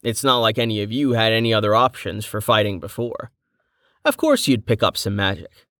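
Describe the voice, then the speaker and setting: A narrator voicing Rain, a polite and concerned young adventurer, close to the narrator's own voice. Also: male voice, fairly low pitch, sing-song, fairly quick, fairly bright tone, no echo.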